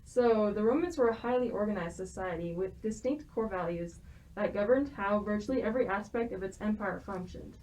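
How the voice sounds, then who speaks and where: A young woman talks through a topic from prepared notes as a student guest. She stops often to hesitate and restart, in a voice of ordinary pitch. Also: mellow, rough recording. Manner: explains from notes with frequent ums and restarts. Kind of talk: prepared explanatory talk.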